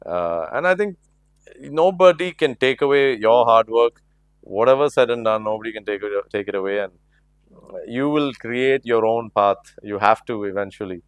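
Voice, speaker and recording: A man's voice: medium pitch, expressive, moderate pace, clear, resonant tone, dry recording, low-quality recording. A man in his forties, a playback singer whose first language is Malayalam, answering interview questions reflectively with many fillers and restarts.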